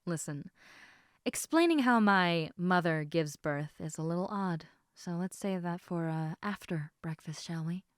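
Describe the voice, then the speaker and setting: A sleepy lamia girl, played by a woman speaks slowly in a slightly low female voice. She rambles casually, teasing and affectionate, as in an intimate roleplay audio. The sound is dry and close, with no room around it. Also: lively intonation, clean tone, no echo. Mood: playful, evasive.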